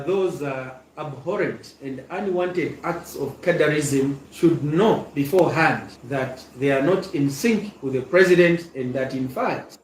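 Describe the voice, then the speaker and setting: A man giving a press statement to the media, speaking deliberately and with emphasis. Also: fairly high pitch. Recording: roomy, distant mic with faint noise.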